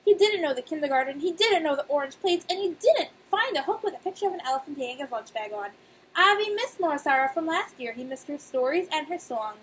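High-voiced young woman talking to small children. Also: low-quality recording. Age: young adult. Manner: expressive, simple child-directed speech with emphatic pauses. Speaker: nursery teacher. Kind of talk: read-aloud and talk to young children. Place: small indoor room. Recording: clear background noise, webcam-style room recording.

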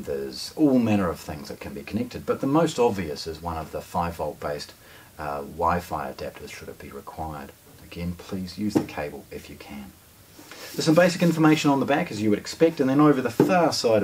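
A man's voice: sits low, breathy edge. A man, presenting a product unscripted in a conversational, explanatory way, stressing key words. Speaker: hi-fi shop presenter. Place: hi-fi shop showroom, indoors. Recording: distant camera mic, faint noise.

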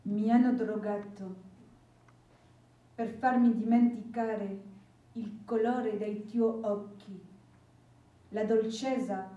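Brief: woman, slow tender poetry reading, mellow tone, muffled hall. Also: mid-range voice, steady tone. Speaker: poetry reader. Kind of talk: stage poetry recitation. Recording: dull echoey hall from far off.

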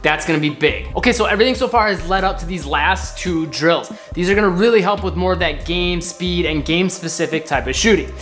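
A man's voice: high voice, a little fast, hardly any pauses, fairly bright tone. A man in his thirties coaching basketball drills while seated on a couch in a living room, upbeat and demonstrating as he talks. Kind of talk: instructional drill demo. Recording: echoey room with faint music.

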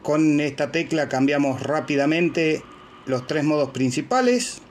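An Argentine man presents radio gear in an informal way, taking his time with each phrase. His voice sits a little high, and faint noise runs behind him on the handheld phone recording. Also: a little mellow. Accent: Argentine Spanish. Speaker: amateur radio operator and presenter. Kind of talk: informal equipment demonstration and radio contacts. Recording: clear background noise, handheld phone video.

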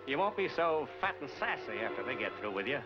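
An actor playing a hard, threatening older crooked boss with a sneering, domineering manner in a 1940s film. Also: male voice, medium pitch. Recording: dull 1940s film soundtrack with music.

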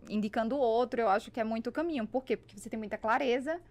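A woman's voice: a little high, very fast, a little mellow. A talkative woman with a Brazilian accent, telling stories conversationally and emphatically into a studio microphone on a podcast. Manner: talkative, emphatic, acts out dialogue. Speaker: podcast guest, business networker. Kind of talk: animated conversational storytelling. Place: small foam-padded podcast studio. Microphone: studio microphone on boom arm.

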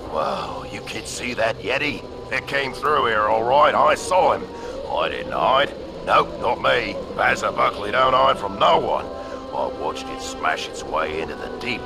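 Gravelly, blustering mountain man. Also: on the low side, exaggerated voice. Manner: boastful, defensive, rambling. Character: blustering, shifty male mountain camper. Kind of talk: cartoon video game acting.

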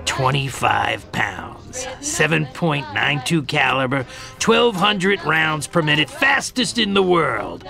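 An actor playing a coarse, chatty middle-aged American war veteran who sells guns in a video game. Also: male voice, fairly high pitch, slow, gravelly, faint music behind, heavily acted. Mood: proud. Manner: excitable, coarse, salesman-like. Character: gruff, chatty one-eyed war veteran gun dealer. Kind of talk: video game cutscene acting.

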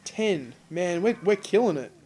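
A man's voice: high voice, animated, a little slow, darkish tone, breathy edge, soft background music. A man co-hosting a casual let's play, joking and laughing often as he talks through the game. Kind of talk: casual gameplay commentary.

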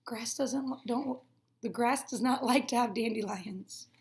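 A woman's voice: fairly high pitch, unhurried, darkish tone. A woman in her twenties, a teacher reading aloud to children, explaining and prompting them with simple questions. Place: small room at home, indoors.

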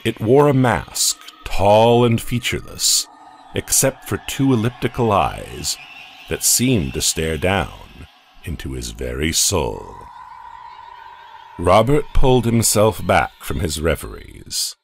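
Slow, deep-voiced male narrator for an audio horror comic, animated delivery. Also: vocal fry, a little hiss or hum. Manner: slow dramatic storytelling.

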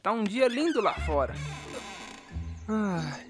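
A performer voicing a brash, goofy, excitable young man in a comic anime parody dub. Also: mid-range voice, slow. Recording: music under the voice.

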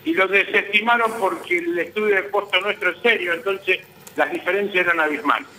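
Argentine male interviewee, high and sharp-edged, insistent spokesperson delivery, roomy call sound. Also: fairly quick, a little hiss or hum. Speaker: guest, transport business association vice president. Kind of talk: live news interview by phone.

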